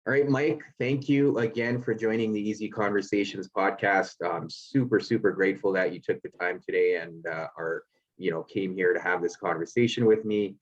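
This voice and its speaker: A man's voice: lowish voice, fairly even intonation, moderate pace, muffled recording. A man hosting a podcast and life coaching, reading an earnest intro and then asking questions with many fillers.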